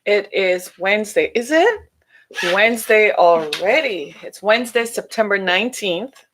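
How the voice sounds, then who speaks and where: A middle-aged woman talks on her own podcast in a loose, chatty way and often asks her listeners "right?" Her voice is a little hoarse because she is losing it. Her pitch climbs when she turns to mockery. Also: unhurried, noisy background. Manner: animated, rising pitch when mocking. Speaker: podcast host. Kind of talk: solo podcast talk.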